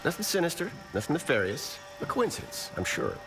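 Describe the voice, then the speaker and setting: A roguish older American charmer with a swinging, animated delivery and a folksy, teasing way of talking. He is an unflappable video game adventurer, heard over prominent music. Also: fairly high pitch, a little fast, vocal fry, full character voice. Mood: persuasive, wry. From United States. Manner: folksy, teasing, drawling wisecracks. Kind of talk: video game cutscene acting.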